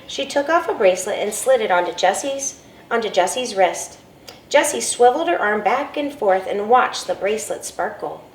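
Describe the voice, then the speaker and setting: A school principal reads a picture book aloud, her voice rising and falling with lively expression. She is recorded from a distance in an echoey room, with faint ambience behind. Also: medium pitch, normal speed, a little hiss or hum. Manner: expressive storytelling.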